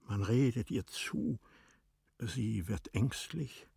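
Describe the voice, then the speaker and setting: A man narrating literary radio drama from a script, with deliberate, dramatic phrasing. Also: mid-range voice, expressive, creaky, darkish tone, breathy edge, no room sound, studio quality.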